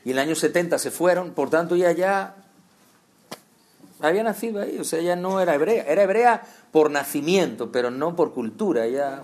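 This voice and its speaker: A man's voice: a little high, a little fast, a little mellow. A man from preaching a conversational sermon, talking straight to his listeners with rhetorical questions.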